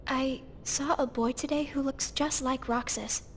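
Shy unsure game girl, high voice, echoey with faint ambience. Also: steady tone, unhurried. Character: timid, earnest teenage girl, unsure of herself. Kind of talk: video game cutscene acting. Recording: long reverb, faint ambient noise.

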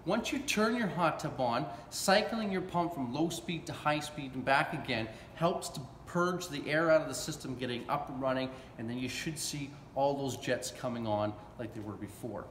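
A man's voice: mid-range voice, moderate pace, few pauses, fairly bright tone. A man, giving a casual, folksy hands-on repair demonstration as a technician. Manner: folksy, colloquial, checking in with "okay?". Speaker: hot tub repair technician presenting a how-to. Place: large indoor warehouse with brick walls. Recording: echoey warehouse with clear background noise.

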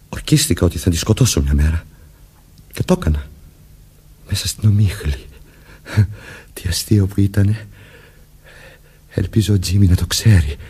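A murderer passing as a policeman in a radio drama. His voice is low and husky, with very lively swings of pitch. He is commanding and insinuating, with something childlike and vengeful underneath. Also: full character voice. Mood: menacing, childlike. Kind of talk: Greek radio drama acting.

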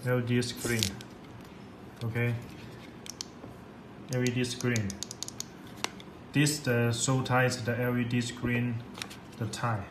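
A man walks through a product tutorial in an echoey, slightly noisy room. His English is simple and halting, he often repeats himself, and his delivery stays quite monotone. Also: mid-range voice. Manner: halting, repetitive, simple non-native English. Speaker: product demonstrator. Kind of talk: unscripted software tutorial. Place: indoor room at a computer desk. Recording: echoey room with background noise.

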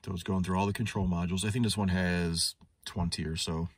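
A man with a low-pitched voice walks through a tool demonstration off the cuff. He sounds like a working technician, chatting conversationally and thinking aloud as he goes. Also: steady tone, brisk, breathy edge, no room sound. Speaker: automotive technician reviewing a scan tool. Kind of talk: casual unscripted product demonstration. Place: inside a parked car in a shop.

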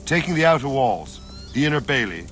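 An actor playing a grizzled older soldier in a video game, blunt, fatherly and resolute, with a measured, commanding delivery. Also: mid-range voice, big pitch swings, lightly acted. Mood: resolute, instructing. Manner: measured, plain-spoken, commanding. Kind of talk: dramatic video game cutscene acting. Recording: music behind the voice.

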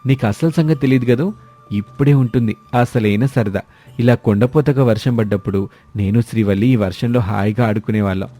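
A man voicing a fond, encouraging young village-born husband in an animated moral story, over music. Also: medium pitch, a little fast, close to an everyday voice. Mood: cheerful.

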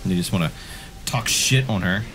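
A man bantering casually as he comments on a friend's video game, joking and swearing freely in an excitable way. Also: low voice, moderate pace, background noise. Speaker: co-host commenting on a friend's gameplay. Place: indoor room, webcam setup beside another player. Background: game music.

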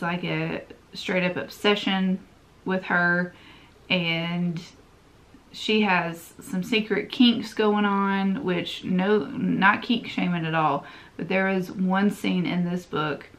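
A woman's voice: on the low side, fairly bright tone. A woman in her thirties with a stuffy, congested voice, chatting emphatically about books she has been reading, recorded at home by a camera at desk distance. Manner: chatty and emphatic, with frequent repetitions. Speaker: book vlogger. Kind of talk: casual book review chat. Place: home room lined with bookshelves. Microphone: camera in front at desk distance.

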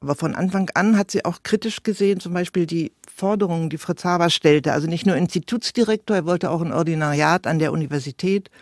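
A man with a fairly high voice talks as an interviewed expert. He explains off the cuff in conversational phrases, in a very dry recording. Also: quick pace, hardly any pauses, no echo. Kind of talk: spontaneous explanatory interview talk.

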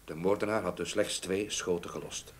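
Casting: grave gentleman witness for an old radio drama, played by a man, low measured voice, slight hiss. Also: steady tone, close to an everyday voice. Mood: grave, sombre. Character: sober, earnest gentleman witness, Mr. Lichtveld. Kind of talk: Dutch radio play acting.

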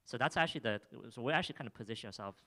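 A man's voice: medium pitch, lively intonation, racing, sharp tone. A young man presenting his startup's product on a theater stage with a handheld mic, talking through a demo with many fillers and restarts, then answering audience questions. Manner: filler-heavy, frequent restarts, enthusiastic. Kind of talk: live demo talk and audience Q&A.

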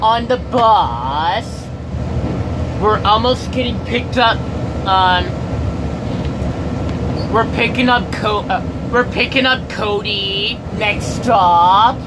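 A young man chatting casually to a handheld camera in a halting, repetitive, rambling way. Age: teenager or young adult. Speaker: vlogger. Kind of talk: casual rambling vlog chat. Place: inside a moving school bus. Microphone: handheld camera at arm's length. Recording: loud bus engine and road rumble.

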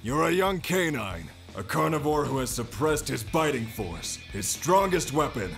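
A man voicing a tough, blunt panda mentor in a manga fan dub, stern, probing and forceful. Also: medium pitch, very animated, a little slow, creaky, over music.

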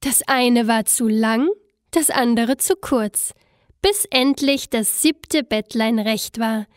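A woman narrates a fairy tale in a high voice at a slow, careful pace. Her phrasing is expressive and scripted, closely recorded with little room sound. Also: no echo, studio quality.

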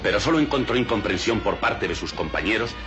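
An actor playing a solemn, mock-grave documentary narrator in a film comedy. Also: male voice, on the low side, expressive, a little fast, muffled recording, over loud music, close to an everyday voice.

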